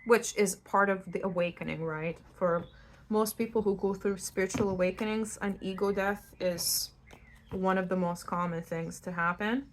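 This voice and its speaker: A woman's voice: medium pitch, steady tone, moderate pace, slight background noise, poor audio. A woman from Lithuania, giving an unscripted tarot reading in a blunt, conversational way, speaking straight to the listener. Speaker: tarot reader hosting her own channel. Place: indoors at home in a new-build house.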